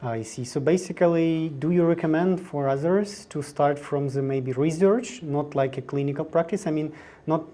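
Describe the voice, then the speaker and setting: Interviewer, warm mellow voice, non-native English, measured questions. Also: mid-range voice. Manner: non-native English, some broken phrasing.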